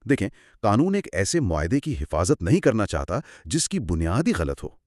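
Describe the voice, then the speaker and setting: A man co-hosting a scripted explanatory discussion, speaking in a measured, emphatic, didactic way. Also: on the low side, wildly swooping pitch, a little mellow, no room sound, clean studio sound. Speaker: co-host explaining law.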